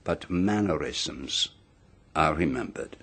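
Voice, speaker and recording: An actor playing a shrewd, composed detective in a radio drama, deliberate and precise, with dramatic pauses. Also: male voice, very deep voice, animated, fairly bright tone, husky, full character voice. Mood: measured, accusing. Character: shrewd, composed male detective laying out a murder case.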